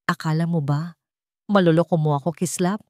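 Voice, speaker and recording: A woman's voice: fairly low pitch, fairly even intonation, brisk, darkish tone, dry recording, studio quality, natural voice. A woman voicing a cruel, scheming stepmother in an audio story, cold, accusing and commanding. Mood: menacing.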